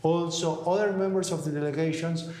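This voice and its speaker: A man's voice: on the high side, fairly even intonation, fairly quick, darkish tone. A middle-aged man with a Mexican Spanish accent, co-hosting an interview show and speaking earnestly while searching for words in his second language. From Mexico City. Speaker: co-host. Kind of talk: conversational interview talk. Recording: echoey room.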